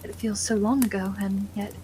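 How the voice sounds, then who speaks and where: A woman's voice: medium pitch, steady tone, moderate pace, mellow, slight background noise, natural voice, poor audio. A woman playing a caring, genteel young Victorian wife and hostess in an ASMR roleplay, with soothing, polite, old-fashioned phrasing. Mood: fond, wistful.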